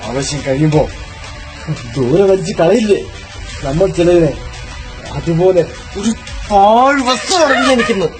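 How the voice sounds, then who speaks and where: A man's voice: sits high, animated, a little slow, music in the background. A man playing a cruel womanizer in a radio drama, scornful, cold and taunting toward his lover. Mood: cold contempt. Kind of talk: melodramatic radio drama acting in Malayalam.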